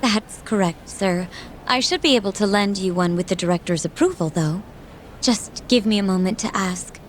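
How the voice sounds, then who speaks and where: An actress plays a female receptionist in a video game, helpful and courteous with a touch of hesitancy. Her pitch is average for a woman. There is faint ambient noise behind her. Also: normal speed, close to an everyday voice. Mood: polite, helpful. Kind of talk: English game dub acting.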